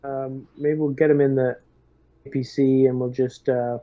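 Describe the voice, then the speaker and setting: A man with an even, mid-pitched voice on a slightly dull mic. He gives squad orders in a tabletop roleplay, in measured phrases with hesitant gaps. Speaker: player acting as squad leader in a tabletop game. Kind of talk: casual in-character tabletop roleplay.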